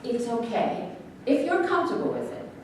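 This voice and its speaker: High-voiced clinical doctor, echoey woman acting. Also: lively intonation, moderate pace, darkish tone, close to an everyday voice. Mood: calm, concerned. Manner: clinical, questioning. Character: probing, composed female doctor examining a killer. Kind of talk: staged play reading. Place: echoey room at a staged reading, far microphone. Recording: very echoey room, distant mic, faint noise.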